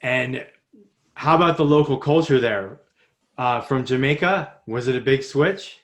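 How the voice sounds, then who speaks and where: A man's voice: mid-range voice, unhurried, fairly bright tone. A man hosting a podcast, asking friendly questions with small restarts. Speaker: podcast host. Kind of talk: interview host.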